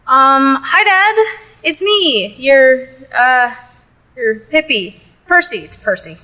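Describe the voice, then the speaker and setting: A woman playing a nervous, awkward youngster leaving a halting phone message in an audio play. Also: sits high, animated, pushed and bright, exaggerated voice, poor audio. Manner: hesitant, halting. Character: nervous, awkward youngster phoning an absent parent.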